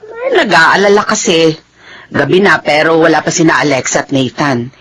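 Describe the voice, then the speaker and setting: Lively, husky Filipino wife. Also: female voice, low voice, moderate pace, heavily acted. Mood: casual, concerned. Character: affectionate, chatty spouse. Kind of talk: Filipino radio drama acting.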